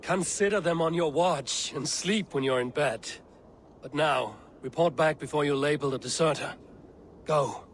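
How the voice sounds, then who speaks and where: An actor playing a guarded, blunt Viking raider, speaking firmly and tersely in a game cutscene. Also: male voice, fairly high pitch, normal speed, slight background noise, close to an everyday voice. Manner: firm, terse, plain-spoken. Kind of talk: video game cutscene acting.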